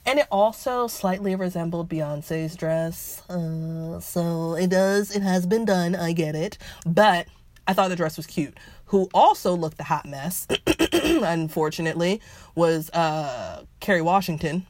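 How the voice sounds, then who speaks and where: Woman co-host, candid fashion chat, lower voice, dry close sound. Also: expressive, measured pace, a little mellow, no room sound. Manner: candid, opinionated, laid-back with fillers. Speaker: podcast co-host. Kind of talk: casual celebrity fashion chat.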